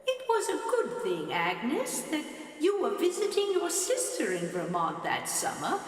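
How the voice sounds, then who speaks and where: A woman's voice: sits high, huge pitch swings, measured pace, exaggerated voice. A woman playing an insinuating older widow in an audio mystery, pleading and repeating herself under heavy ghostly reverb. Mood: insistent, unsettling. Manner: pleading, repetitive, swooping questions. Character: haunting, insinuating older widow with a dark secret. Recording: echoing hall, distant from mic.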